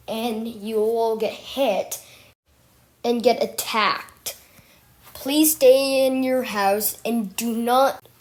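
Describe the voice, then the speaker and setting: A woman's voice: fairly high pitch, a little mellow. A woman delivering a homemade mock emergency alert as an announcer, repeating her warnings.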